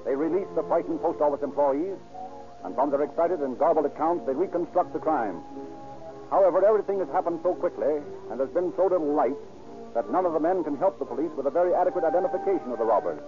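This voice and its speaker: A man's voice: fairly high pitch, fast. A man giving formal, dramatic scripted narration in the style of an old-time radio announcer. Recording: tinny old radio recording, music behind.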